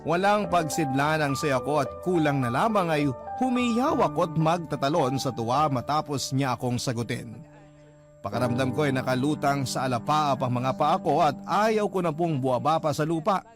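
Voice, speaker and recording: A man's voice: a little high, animated, moderate pace, music in the background. Earnest man narrating a love confession. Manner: earnest, confessional, polite address. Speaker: letter-sender narrator. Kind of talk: first-person radio drama narration.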